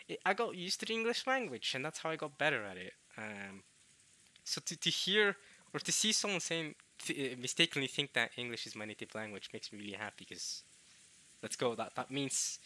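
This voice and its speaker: Young man, very bright sharp tone, dry close sound, halting casual chat, stumbling restarts. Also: on the high side, no room sound. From Norway. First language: Norwegian. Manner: halting, restarts often, chuckling self-deprecation. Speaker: visual novel let's player.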